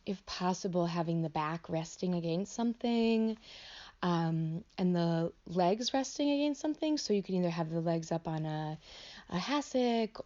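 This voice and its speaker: A woman teaching a guided meditation in an unscripted, gentle way, offering instructions as tentative invitations. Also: on the low side, lo-fi sound. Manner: gentle, hesitant, invitational phrasing.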